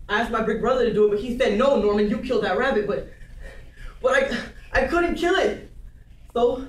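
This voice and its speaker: A man's voice: sits high, close to an everyday voice. A man as a meek, stammering husband, distant and echoey. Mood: troubled, earnest. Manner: hesitant, stammering, repeating words. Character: meek, nervous, grieving husband, childlike and earnest. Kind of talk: dramatic stage monologue. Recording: roomy, distant microphone, faint noise.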